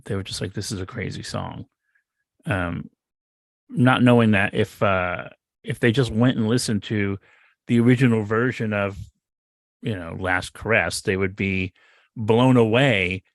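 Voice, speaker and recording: Teasing man with a dry close sound. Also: no room sound. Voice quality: higher voice. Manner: jokey, teasing, animated questions. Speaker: podcast co-host. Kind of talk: casual podcast chat.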